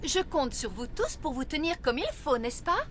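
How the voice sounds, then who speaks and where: A woman's voice: sits high, sing-song, normal speed, very noisy. A woman voicing a prim, refined grown-up fairy lady in a French cartoon dub, polite and theatrical.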